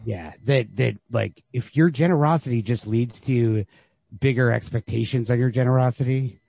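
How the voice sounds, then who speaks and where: Gravelly-voiced man in casual book talk. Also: mid-range voice, normal speed, a little mellow, dry recording. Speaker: podcast co-host. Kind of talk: casual literary discussion.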